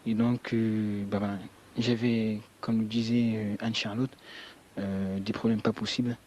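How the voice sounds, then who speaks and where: A man's voice: on the low side, little pitch movement, unhurried, a little mellow, a little hiss or hum. A young man from French Guiana giving a spontaneous, earnest and emphatic personal account in an interview. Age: young adult. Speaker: student interviewee.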